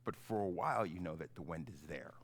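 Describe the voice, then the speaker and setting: A middle-aged man, a pastor, giving an informal, halting children's message in simple, conversational words. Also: low-pitched, animated, vocal fry, a little hiss or hum. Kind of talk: gentle children's sermon, informal storytelling.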